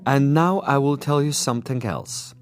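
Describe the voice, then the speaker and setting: An actor playing a suave, boastful assassin commissar in a radio drama, with pompous, precise and silkily polite diction. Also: male voice, mid-range voice, moderate pace, clean tone, soft background music, lightly acted. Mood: smug, menacing. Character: suave, boastful male assassin commissar, coolly menacing connoisseur.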